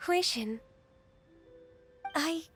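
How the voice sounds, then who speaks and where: A woman voicing a gentle, ageless female secretary, speaking in a soft, polite, reassuring way. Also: very high voice, animated, very slow, faint music behind, heavily acted. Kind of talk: game voice acting.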